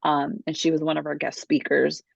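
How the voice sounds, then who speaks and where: A woman's voice: low voice, level tone, a little fast, gravelly, dry recording. A woman hosting a casual online Q&A class, talking informally over a video call. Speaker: host of a book publishing Q&A class. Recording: dull lo-fi video call audio.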